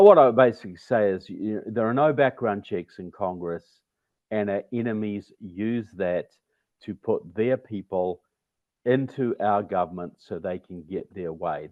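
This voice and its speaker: Phone-like, low-voiced New Zealand man. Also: moderate pace, no room sound. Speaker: interview guest, author and political activist. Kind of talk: conversational interview commentary. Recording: tinny, narrow phone-like call audio.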